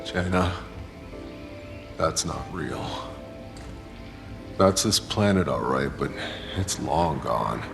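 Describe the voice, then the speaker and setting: A resolute, battle-weary game hero. His voice is deep and gravelly, with slow, terse delivery over music. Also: animated, exaggerated voice. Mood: guarded, stern. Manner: terse, low-key. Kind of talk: video game cutscene acting. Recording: music under the voice.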